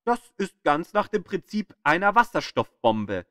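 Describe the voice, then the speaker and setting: A man reading scripted documentary narration as an audio guide, in a deliberate storytelling way with dramatic emphasis. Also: high voice, sing-song, bright, forceful tone, no room sound, clean studio sound.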